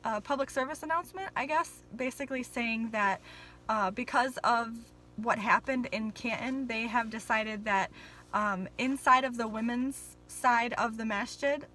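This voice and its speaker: A woman's voice: on the high side, a little slow, pushed and bright, slight background noise, rough recording. A young woman vlogging in a casual, rambling way, full of ums and apologies, a little nervous on camera.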